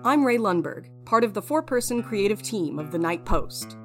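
A woman's voice: medium pitch, sing-song, normal speed. Woman introducing a podcast over guitar. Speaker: podcast creator introducing an episode. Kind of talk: scripted narration. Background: plucked guitar music.

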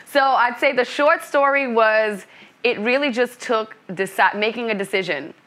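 A middle-aged woman from Brooklyn, a coach being interviewed, speaking in a thoughtful, measured way. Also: on the high side, fairly bright tone. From Brooklyn, New York. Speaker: coach and author. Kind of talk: interview conversation.

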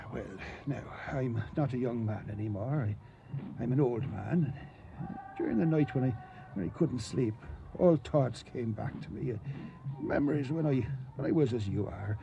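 An actor playing a weary, frightened old doctor in a radio drama, halting and stammering as he pleads. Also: mid-range voice, creaky, dull audio, noisy background. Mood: weary, wistful. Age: older.

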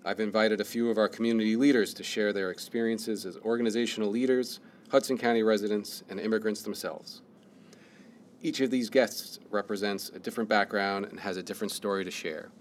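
A man's voice: lowish voice, fairly even intonation, fairly quick, a little hiss or hum. A man hosting a radio interview, reading a prepared intro and then asking casual questions. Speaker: host, interviewer.

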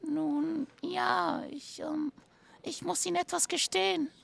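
A woman playing a fussy, melodramatic witness in a crime audio drama, with theatrical, drawn-out words. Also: fairly even intonation, dry recording, heavily acted. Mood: hesitant, guilty. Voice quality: high, creaky. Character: fussy, melodramatic female witness, shrill and guiltily hesitant. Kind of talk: hobby German crime audio drama acting.

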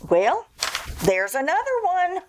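A woman playing a high, lilting, good-natured housemaid. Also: wildly swooping pitch, slow, exaggerated voice. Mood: reluctant, curious. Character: good-natured female housemaid, gently amused by her employer. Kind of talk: old-time radio comedy acting. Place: theatre stage before an audience. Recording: live stage show, background noise.

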